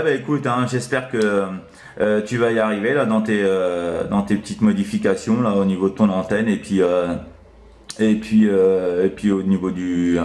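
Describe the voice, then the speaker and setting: Guy on cb radio, lowish voice, rambly and hesitant, roomy echo. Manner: rambling, hesitant, repeats filler tags. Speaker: CB radio operator. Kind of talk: casual radio chat. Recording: echoey room.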